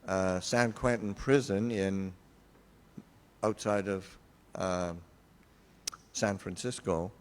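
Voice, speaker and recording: An older man, a Buddhist monk, giving an informal talk and answering questions, pausing often with fillers and restarts. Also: on the low side, clean tone, long reverb tail. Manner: halting, many fillers and restarts.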